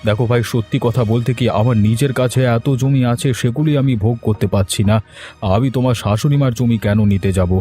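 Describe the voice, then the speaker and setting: An elderly village landowner acted by a man in a cartoon tale. He is mild and wounded, forever placating. The voice is mellow and middling in pitch, with music behind. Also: fairly even intonation, fast, natural voice. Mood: hurt, placating. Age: older. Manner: placating, protesting innocence. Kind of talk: cartoon story dialogue. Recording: music under the voice.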